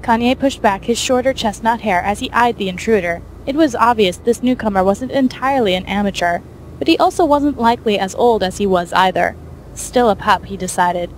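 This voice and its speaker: Woman reading a story out loud, average pitch, bit of noise behind her. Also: a little fast, rough recording. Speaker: narrator. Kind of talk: scripted fiction narration.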